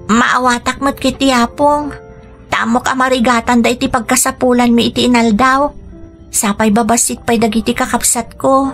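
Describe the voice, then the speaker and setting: An actress with an Ilocano Filipino accent playing a meek, forlorn teenage granddaughter in a radio drama, pleading and apologetic. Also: female voice, on the high side, steady tone, normal speed, a bit bright. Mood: sad. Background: music.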